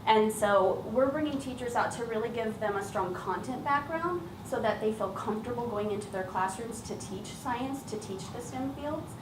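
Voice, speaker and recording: A woman's voice: on the high side, normal speed, few pauses. A woman teacher giving an informal, explanatory public presentation about her work, speaking as a lead trainer. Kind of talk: public presentation, semi-prepared talk. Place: echoey room at an event. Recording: roomy, distant mic, clearly noisy background.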